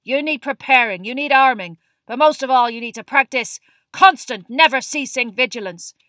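A woman voicing a gruff old male teacher in a storybook, with a forceful, barking, growling delivery. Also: high voice, moderate pace, dry recording, clean studio sound, pitched above the performer's own voice. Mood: fierce, roaring.

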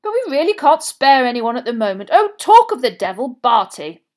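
Woman putting on a loud, jovial wizard gent. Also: sits high, very animated, normal speed, voice pushed up. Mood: comfortable, carefree. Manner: hearty, carefree, chummy exclamations. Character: jovial, boisterous, breezy wizard sports official, a man.

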